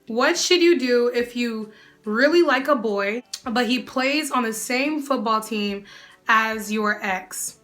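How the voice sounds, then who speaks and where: A young woman answering viewer questions in a casual vlog, sassy and animated. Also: on the high side, slow pace, faint music behind. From United States. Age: young adult. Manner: sassy, animated, often calls the viewer "girl".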